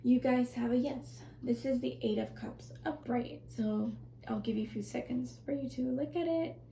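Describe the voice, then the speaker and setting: A woman with a scratchy, strained voice reading tarot in a casual, rambling way, often correcting herself and speaking softly to viewers. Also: a little high, moderate pace, echoey room. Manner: rambling, self-correcting, soft and reassuring. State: scratchy, strained voice, clearing her throat. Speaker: tarot reader.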